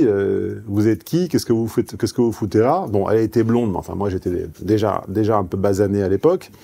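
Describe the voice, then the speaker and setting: A man's voice: medium pitch, dark tone. A man who grew up in southeastern France giving a paid seminar, frank and salty, telling personal anecdotes. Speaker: seminar speaker. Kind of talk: seminar talk.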